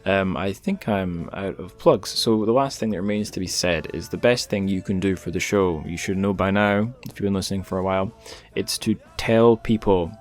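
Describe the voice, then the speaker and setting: A man's voice: sits low, normal speed, few pauses. A man hosting a podcast, talking casually and informally with a wry, self-deprecating touch. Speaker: podcast host. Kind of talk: casual podcast talk with plugs.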